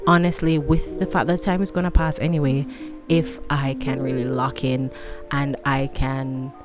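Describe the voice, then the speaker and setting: Woman, late twenties, low voice, chatty vlog, rambling, self-deprecating, music behind. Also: level tone, normal speed. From tropical country. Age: 20s. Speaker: vlogger. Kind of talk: casual chat to camera. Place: home room with a fan running.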